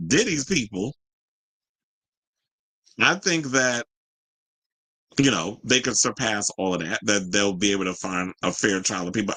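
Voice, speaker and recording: A man's voice: lowish voice, pushed and bright, rough recording. An American man hosting a news and gossip show, reading stories and adding blunt, profane, sassy asides in colloquial speech.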